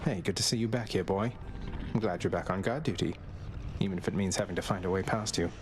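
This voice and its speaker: A man's voice: low voice, fast talker, over music, lightly acted. A man voicing a young underworld prince in a video game, a defiant but good-hearted son with a wry, understated way of talking. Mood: fond.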